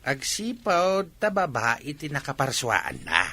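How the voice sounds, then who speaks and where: A man's voice: medium pitch, huge pitch swings, a little slow, pushed and bright, heavily acted. A man playing a nosy, teasing village friend in a radio comedy-drama, gossipy and prying.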